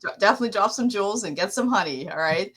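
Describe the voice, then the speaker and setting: A woman's voice: medium pitch, expressive, rapid-fire, fairly bright tone, husky, rough recording. A woman hosting a podcast interview, who introduces and prompts her guest in a chatty, upbeat way. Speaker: podcast host.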